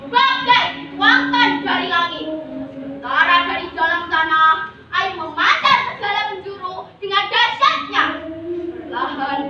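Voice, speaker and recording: A young female contestant performing a memorized religious story in a theatrical, declamatory manner. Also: extremely high pitch. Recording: echoey noisy hall, muffled, far off.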